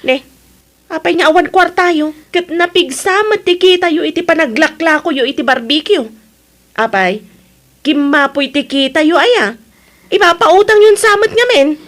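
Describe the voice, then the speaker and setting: A woman's voice: high-pitched, normal speed, a bit bright. A woman playing an impatient, callous grown daughter in an audio drama, nagging and curt. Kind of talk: Ilocano audio drama acting.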